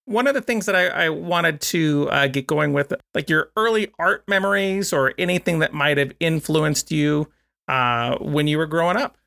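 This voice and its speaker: A man's voice: fairly high pitch, normal speed, gravelly, pushed and bright. A man hosting a podcast interview, chatting in a friendly, rambling way full of fillers. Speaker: podcast host.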